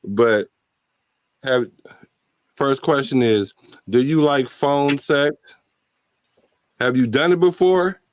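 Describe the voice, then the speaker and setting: A man hosting a casual late-night chat, laid-back and joking in a relaxed, slangy way. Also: mid-range voice, takes it slowly, long pauses, no room sound. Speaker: host.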